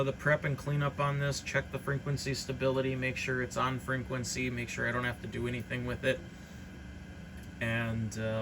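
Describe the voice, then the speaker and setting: A man's voice: medium pitch, gravelly, background noise. A man giving an informal, unscripted hobbyist product demonstration in a rambling, matter-of-fact way. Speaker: hobbyist radio reseller presenting a demonstration.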